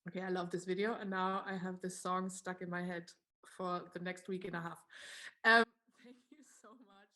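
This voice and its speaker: A woman's voice: on the low side, flat delivery, moderate pace, pushed and bright. A woman moderating a live online panel, chatty and upbeat, with frequent fillers and asides. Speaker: event moderator.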